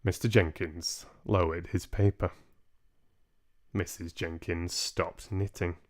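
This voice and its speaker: A man reading a story aloud as narrator, in an expressive storytelling style. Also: low voice, slow. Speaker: audiobook reader, livestream host.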